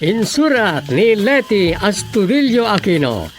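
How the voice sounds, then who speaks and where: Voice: mid-range voice, very animated, unhurried. A radio drama narrator delivering scripted introductions and credits in a dramatic announcing style. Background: music.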